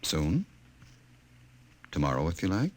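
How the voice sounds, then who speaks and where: An actor playing a smooth, authoritative director of a dystopian future in 1950s radio drama, formal and courtly in a coolly commanding way. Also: male voice, sits low, huge pitch swings, measured pace, exaggerated voice.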